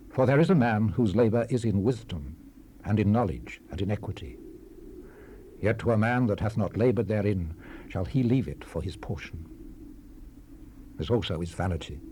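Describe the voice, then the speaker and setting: Casting: male actor as brooding scripture sage, low mellow voice, grave theatrical delivery with falling phrase endings, seventies cassette hiss. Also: close to an everyday voice. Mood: weary, sorrowful. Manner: solemn, measured, declamatory, with falling phrase endings. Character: weary, brooding old-world preacher reflecting on life's vanity. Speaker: scripture narrator. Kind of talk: dramatized Bible reading, solemn and theatrical. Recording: hissy 1970s cassette rip.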